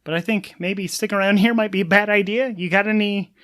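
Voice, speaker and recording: A man's voice: high-pitched, steady tone, fast, a bit bright, pitched above the performer's own voice. A man voicing a stressed, bewildered spaceship pilot in a tabletop game, hesitant and stammering in an informal way. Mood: anxious, confused.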